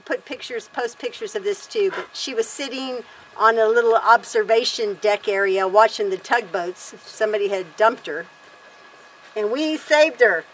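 A woman's voice: fairly high pitch, moderate pace, fairly bright tone, a little hiss or hum, low-quality recording. A woman in her fifties chatting casually to camera outdoors on a wooden deck, rambling and correcting herself as she talks. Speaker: homesteader vlog host.

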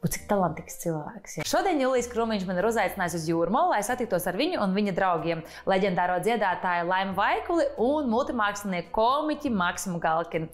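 A woman's voice: mid-range voice, expressive, fast, few pauses. A woman in her thirties giving conversational commentary to camera as a show host. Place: small indoor room set. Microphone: lapel. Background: faint music.

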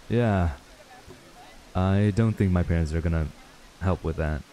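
A man acts out a wistful young man in an intimate ASMR roleplay. His voice sits low and has a dark, relaxed tone. He talks in a laid-back, casual and slightly hesitant way, as to an old childhood friend. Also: takes it slowly, low-quality recording.

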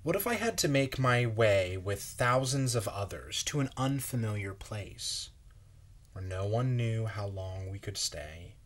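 A man in his thirties reading a children's picture book aloud in a measured, wondering way with pauses. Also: on the low side, expressive. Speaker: children's story reader. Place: small bright room at home, indoors.

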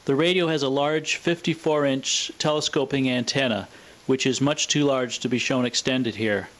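A man presenting a scripted, explanatory product demonstration in a measured, matter-of-fact way. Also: mid-range voice, fairly even intonation, fairly bright tone. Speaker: presenter of a vintage radio showcase video.

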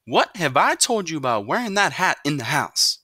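Guy putting on a scolding mama, high and bright, really exasperated, dry close sound. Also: sing-song, moderate pace, no echo, heavily acted. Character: stern exasperated mother scolding her son.

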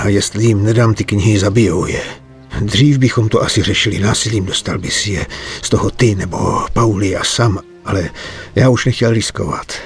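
An older man playing a weary, remorseful mob bookkeeper in a dubbed game, earnest and halting, pleading for his family. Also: on the low side, close to an everyday voice. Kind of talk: dubbed video game cutscene acting.

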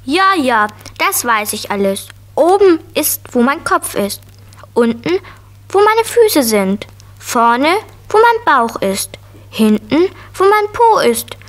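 A woman's voice: sits high, very animated, slow, full character voice. A woman playing a curious, eager preschool girl in a children's radio play, speaking in a naive, candid way.

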